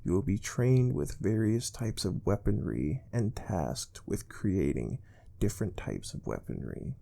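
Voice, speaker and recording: A man playing a calm, soft-spoken space research team interviewer in an ASMR role play, explaining things gently and without hurry. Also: lowish voice, measured pace, gravelly, heavily acted. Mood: calm, serious. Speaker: ASMR performer.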